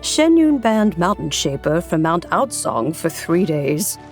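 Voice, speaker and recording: Game elder: kindly old immortal, lowish voice, expressive, genteel amusement. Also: female voice, a little slow, soft background music. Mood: gently amused. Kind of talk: video game dub acting.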